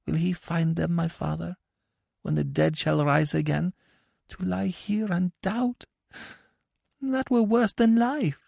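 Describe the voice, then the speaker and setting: A man as anguished dead mother, very high. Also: fairly even intonation, slow, vocal fry, pauses often, darkish tone, dry recording, studio quality, full character voice. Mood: anguished, doubting. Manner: pleading, wailing, archaic speech. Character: anguished young dead mother crying for her baby. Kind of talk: dramatic storybook reading.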